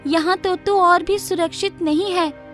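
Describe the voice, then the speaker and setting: High-voiced worried friend acted by a woman. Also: steady tone, unhurried, a bit bright. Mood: surprised, worried. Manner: urgent, advising. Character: worried, caring female friend of the heroine. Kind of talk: children's animated storytelling. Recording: music playing behind the voice.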